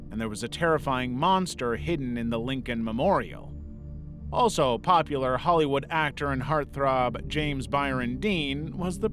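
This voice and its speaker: A man reading a scripted narration in the role of a video essay narrator. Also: medium pitch. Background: background music.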